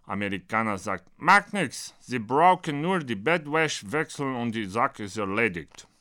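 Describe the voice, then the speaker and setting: A man voicing a blunt, naive Brazilian who talks in choppy, deadpan broken German, performed as a comic monologue. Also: mid-range voice, a little slow. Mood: storytelling, indignant. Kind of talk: comic monologue read from his own book.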